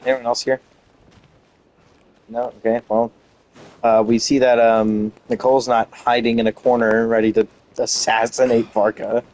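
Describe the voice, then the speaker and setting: A man chats casually through a video game playthrough in a mid-range voice. He rambles playfully, thinking aloud about what is on screen. Game music plays clearly behind him. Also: mid-range voice, level tone, normal speed, a little mellow. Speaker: gameplay streamer narrating a playthrough. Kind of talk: casual gaming commentary.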